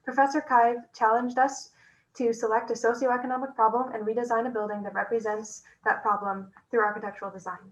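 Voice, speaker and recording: A woman's voice: fairly high pitch, little pitch movement, a little fast, a little hiss or hum. A young woman from Minnesota, an architecture student, presenting prepared remarks over a video call. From Minnesota, United States. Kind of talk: academic presentation. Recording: dull lo-fi call audio.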